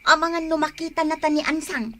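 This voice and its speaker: Envious, sharp, high-voiced Filipina neighbour. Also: female voice, fairly even intonation, fairly quick, slight background noise. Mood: scornful, competitive. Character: envious, competitive, gossipy female neighbour. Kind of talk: comic radio drama acting.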